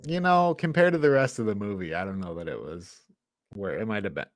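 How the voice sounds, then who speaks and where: A man's voice: on the low side, expressive, brisk, no echo, studio quality. A man co-hosting a casual film review podcast, talking in a blunt, hyperbolic, informal way. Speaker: podcast co-host.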